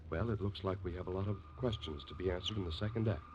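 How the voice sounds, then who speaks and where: A man's voice: sits low, fast talker, a little mellow. A male announcer narrating a 1950s radio drama in a scripted style. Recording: dull, hissy vintage radio recording.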